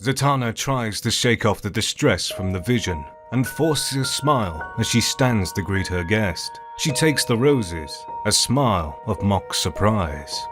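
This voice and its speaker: A man's voice: fairly low pitch, lively intonation, unhurried, few pauses, a bit bright, soft background music. A man giving scripted narration for an audio drama as its narrator.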